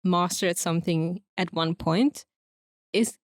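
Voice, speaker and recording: A soft-spoken woman co-hosting a podcast, talking casually in a quiet voice. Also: lowish voice, a little slow, dry recording, studio quality. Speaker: podcast co-host. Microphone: close podcast microphone.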